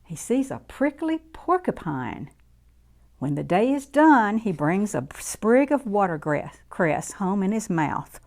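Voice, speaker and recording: Woman reading slowly, with lively pitch, to children. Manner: expressive, deliberate, sing-song phrasing. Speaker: children's story reader. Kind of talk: read-aloud storytime for young children.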